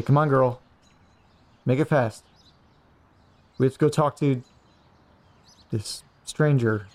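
A man's voice: a little high, gravelly, a little mellow. A man playing an anxious, scatterbrained, polite young man in an audio drama, speaking in a hesitant, rambling way. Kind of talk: naturalistic audio drama acting.

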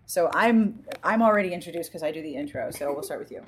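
A woman hosting a podcast leads the conversation with quick, upbeat reactions. The recording has a faint hiss behind her. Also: lowish voice. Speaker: podcast host. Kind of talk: casual interview and group chat.

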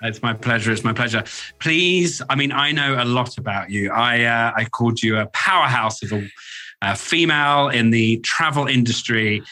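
A man's voice: mid-range voice, moderate pace, no echo, soft background music. A man hosting a podcast, introducing his guest in a jokey, chatty way and talking over a video call. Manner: warm, jokey, apologetic asides. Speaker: podcast host.

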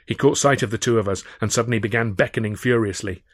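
A man reading a first-person audiobook in a measured, expressive and wry storytelling manner, close on the microphone. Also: on the low side, dry recording. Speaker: author reading his own novel.

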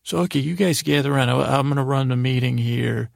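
A man improvising a rambling bedtime story as a podcast host, in a halting, creaky voice that keeps repeating itself and trailing off. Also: mid-range voice, dry recording, clean studio sound. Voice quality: gravelly, creaky.

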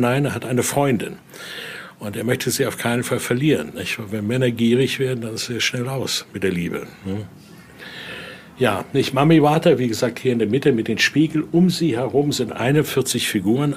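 An older man explains and tells stories like a tour guide, speaking spontaneously and often adding little questioning tags. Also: medium pitch. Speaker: museum guide and interviewee. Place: indoor exhibition room. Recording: clearly noisy room ambience.

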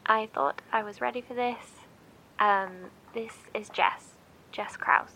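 An actress playing an anxious bunker survivor who hesitantly and reluctantly makes a broadcast in an audio drama. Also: female voice, fairly high pitch, fairly even intonation, sharp tone, a little hiss or hum, heavily acted. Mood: nervous, downcast. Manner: hesitant, halting, sighing.

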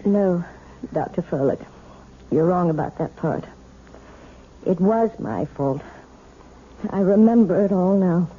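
An actress playing a genteel woman with a sinister secret, speaking sweetly with an eerie calm, in a 1970s radio drama. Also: fairly low pitch, slow, long pauses. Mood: confessing. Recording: lo-fi 1970s radio broadcast with hiss.

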